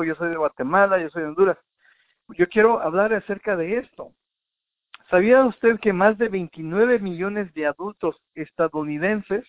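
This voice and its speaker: High-pitched man on a tinny phone-like line. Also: no room sound. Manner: didactic, addressing the listener directly. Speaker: health product presenter. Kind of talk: informal health talk with numbered points. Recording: narrow, tinny phone-like sound.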